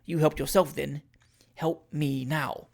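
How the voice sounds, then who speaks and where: A narrator voicing a self-possessed, scheming lady of forty, quiet, measured and drily imperious, in a storybook. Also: mid-range voice, fairly even intonation, vocal fry. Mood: insistent.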